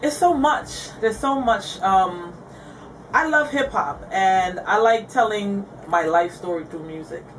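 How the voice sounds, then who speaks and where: A woman's voice: mid-range voice, unhurried, distant mic, slight background noise, low-quality recording. Easygoing woman rapper from Guyana. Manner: laughing, easygoing, candid. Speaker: rapper guest. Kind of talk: casual interview chat.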